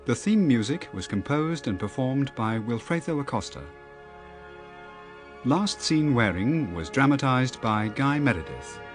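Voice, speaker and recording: A male announcer reads radio credits at a slow pace. His pitch moves widely, and music plays clearly underneath. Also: expressive.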